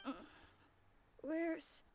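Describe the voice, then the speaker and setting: A woman's voice: extremely slow, noisy background. A woman voicing a timid, confused little monster boy in a video game, speaking in a hesitant, childlike way. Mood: groggy, timid. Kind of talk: dramatic game voice acting.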